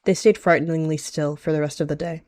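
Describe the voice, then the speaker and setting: Man narrating roleplay over clear music. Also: fairly high pitch, fairly even intonation, fast talker, a little mellow, no room sound, clean studio sound. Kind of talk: dramatic third-person narration in game roleplay.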